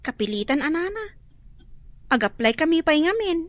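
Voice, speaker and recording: A high, lively young graduate. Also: female voice, moderate pace, no room sound. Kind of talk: radio drama acting.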